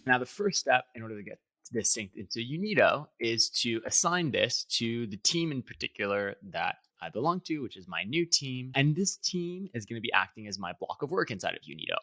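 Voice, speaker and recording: A man presenting a software tutorial in a conversational way, explaining things step by step. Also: mid-range voice, creaky, no room sound. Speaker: software product demonstrator.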